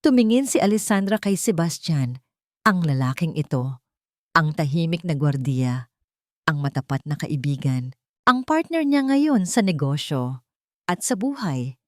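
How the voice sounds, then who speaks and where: Filipino woman narrator with lively, swooping pitch. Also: measured pace, dry recording, studio quality. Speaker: story narrator. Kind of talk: scripted dramatic audio story narration.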